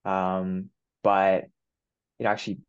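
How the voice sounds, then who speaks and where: Low-voiced young man from Japan. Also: unhurried, clean tone, muffled recording. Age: 20s. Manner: relaxed, many fillers like "you know" and "um". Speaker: guest. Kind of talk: casual interview.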